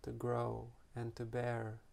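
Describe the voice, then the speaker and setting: Male meditation teacher, gentle delivery, flat intonation, frequent vocal fry, long unhurried pauses. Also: medium pitch. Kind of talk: guided meditation.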